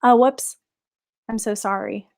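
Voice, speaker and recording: A woman's voice: mid-range voice, fairly even intonation, measured pace, creaky. A young woman teaching a live online singing class, chatting upbeat with viewers and answering their questions.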